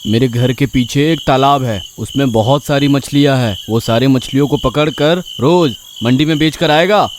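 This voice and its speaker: A man playing a lazy, sly, henpecked village husband in a children's cartoon story, with exaggerated, drawn-out exclamations. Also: medium pitch, slight background noise, natural voice. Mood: bluffing, bossy.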